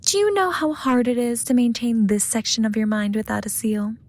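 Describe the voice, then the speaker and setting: A woman's voice: on the high side, lively intonation, moderate pace. A woman voicing a seductive, possessive lust demon in a romantic ASMR roleplay, smug and teasing, often dropping into whispers. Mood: smug, impatient.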